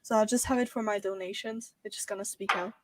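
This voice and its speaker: A woman from Switzerland with a Swiss accent, a guest streamer chatting casually, giggly and halting with many fillers. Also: mid-range voice, steady tone, noisy background. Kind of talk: casual chat.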